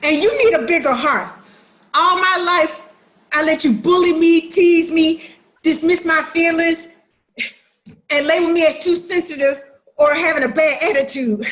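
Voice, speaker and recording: A woman's voice: very high-pitched, a little slow, long pauses, fairly bright tone. A woman acting a hurt, defiant, sassy sister who makes emphatic accusations in a dramatic play reading. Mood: accusing, hurt. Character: wounded, defiant younger-minded sister confronting her sibling.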